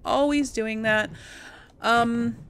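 A woman's voice: fairly high pitch, fairly even intonation, very slow, gravelly, noisy background. A woman hosting a casual game playthrough, thinking aloud hesitantly and murmuring to herself as she goes. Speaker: gaming video host.

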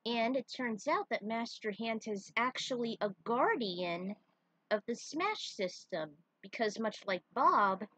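A woman narrating her own fan fiction as a storyteller, with casual asides and a husky voice. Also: fairly high pitch, expressive, measured pace, fairly bright tone, dry recording.

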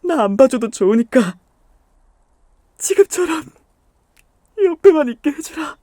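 A young man voicing a gentle, devoted boyfriend in an ASMR audio drama, speaking softly and tenderly. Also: very high voice, lively intonation, a little slow, dry recording, clean studio sound. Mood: tearful pleading. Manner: soft-spoken, hushed and tender. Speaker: voice actor.

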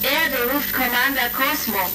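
High-voiced space radio dispatcher. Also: female voice, unhurried. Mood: urgent, formal. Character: formal female radio dispatcher of space intelligence service. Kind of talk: retro science-fiction radio drama acting. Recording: noisy crackling transmission, distant.

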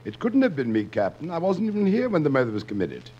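An actor playing an unruffled, deadpan prince who owns a restaurant in a 1950s radio comedy. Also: male voice, fairly high pitch, quick pace. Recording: dull, very noisy old radio recording.

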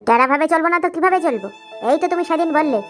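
Shrill, nagging cartoon wife voiced by a woman. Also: racing, fairly bright tone. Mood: taunting. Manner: taunting, scolding. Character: sharp-tongued, nagging village wife. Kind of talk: comic cartoon dialogue. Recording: background music throughout.

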